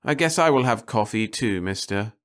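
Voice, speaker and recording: Guy reading as tired picky woman in a story, wistful and vague, raised smooth voice. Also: fairly low pitch, lively intonation, moderate pace, fairly bright tone, pitched above the performer's own voice. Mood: wistful complaint. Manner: weary, complaining, vague. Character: tired, fussy, wistful young woman traveller. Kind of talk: audiobook character dialogue.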